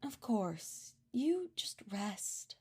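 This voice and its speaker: An actress playing a kind, worried young servant woman in an audio drama, gentle and solicitous. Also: a little high, big pitch swings, drawn-out words, slightly rough, exaggerated voice. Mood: gentle concern.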